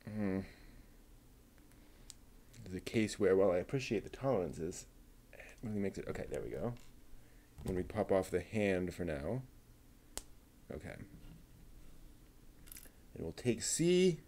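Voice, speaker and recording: A man's voice: deep voice, sing-song, warm tone, slight background noise. A man hosting a livestream, chatting casually and thinking aloud while he assembles a model kit. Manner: unhurried, thinking aloud, muttering to himself.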